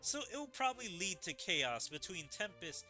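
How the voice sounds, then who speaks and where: Scheming game empress read by a man, condescending and musing, sharp tone, faint music. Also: high-pitched, big pitch swings, normal speed, full character voice.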